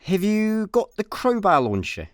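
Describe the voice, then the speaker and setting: A man's voice: high-pitched, wildly swooping pitch, unhurried, no room sound, studio quality, heavily acted. A Scottish man playing a bumbling, chatty space layabout in a comedic radio play, rambling and exasperated. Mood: flustered.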